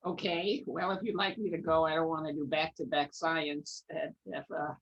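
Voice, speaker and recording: A man who runs an internship program, explaining it to a panel in a measured way. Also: a little high, little pitch movement, vocal fry, highs cut off. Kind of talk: online panel discussion.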